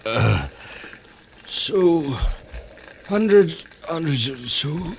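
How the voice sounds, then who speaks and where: Dying frontier survivor, very slow gasping speech, raised pitch, faintly noisy vintage radio. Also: male voice, lively intonation, gravelly, heavily acted. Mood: agonized, dazed. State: gasping, weak, halting. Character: dying, dazed male massacre survivor. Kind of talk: old-time radio western drama acting.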